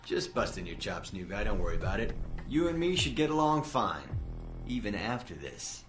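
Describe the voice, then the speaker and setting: An actor playing a cocky young spy agency tech trainer, breezy, needling and smug in a video game. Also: male voice, on the low side, sing-song, normal speed. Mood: breezy, teasing. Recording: roomy echo with music underneath.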